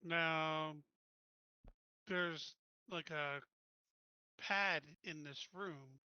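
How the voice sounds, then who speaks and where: A man's voice: on the high side, sharp tone, smooth, dry recording, lo-fi sound. A man playing in a tabletop roleplaying game, asking the game master practical questions in casual chat. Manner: questioning, quick replies. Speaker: player.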